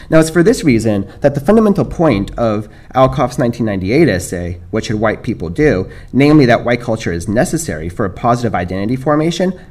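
American man, middle-aged, lowish voice; measured lectern talk read from notes. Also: a little mellow. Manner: measured, reads from script with small restarts. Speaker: panel speaker. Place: dark lecture hall, standing at a lectern. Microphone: lectern microphone.

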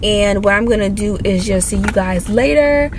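A young woman talks casually to viewers in a vlog. Her voice sits at a medium pitch. She is recorded inside a car, with clearly audible vehicle and ambient noise around her. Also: moderate pace. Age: young adult. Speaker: vlogger. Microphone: camera mounted near the steering wheel.

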